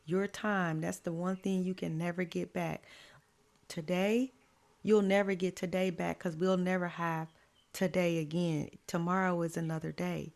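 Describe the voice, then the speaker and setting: A woman life coach with a slightly hoarse, raspy voice, giving slow, encouraging faith-based advice. She repeats her key lines and adds affirming "mm-hmm" asides. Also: lowish voice, flat delivery, darkish tone. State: voice a bit hoarse.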